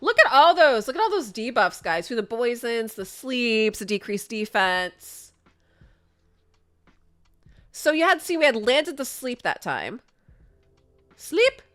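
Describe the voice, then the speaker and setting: A young woman talks her viewers through a game run in a chatty, upbeat way, a little sniffly from a sinus infection. Her voice is bright and quite high and swings widely in pitch. Faint game music plays behind her desk mic. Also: big pitch swings, slow, pauses often. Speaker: gaming content creator. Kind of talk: casual gameplay commentary.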